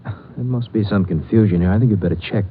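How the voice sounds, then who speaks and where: A man's voice: fairly low pitch, fast talker, slightly rough. A man playing a curt, stern ship's doctor in a 1950s radio drama. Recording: tinny old radio recording.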